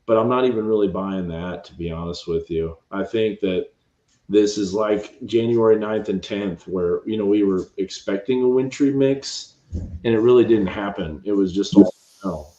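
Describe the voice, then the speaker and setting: Hushed low-voiced man at home. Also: moderate pace. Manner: quiet, hushed, rambling forecast talk. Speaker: meteorologist host. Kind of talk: late-night weather livestream. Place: upstairs room at home at midnight. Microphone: cheap home mic. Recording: roomy, dull home mic.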